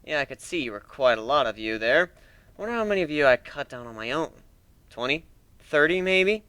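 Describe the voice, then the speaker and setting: Guy doing a cocky griffin warrior, taunting n threatening, really lively pitch, dry sound, story reading. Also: brisk, smooth, no echo. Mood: mocking, casual. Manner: taunting, sarcastic, swaggering. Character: cocky, menacing male griffin warrior and interrogator.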